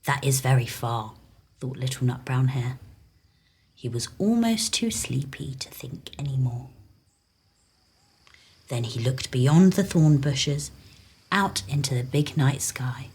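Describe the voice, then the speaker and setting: Very low, breathy woman storyteller. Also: slow, pauses often. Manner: soothing, soft-spoken, low-stimulating. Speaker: children's storytime host. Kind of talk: gentle picture-book narration.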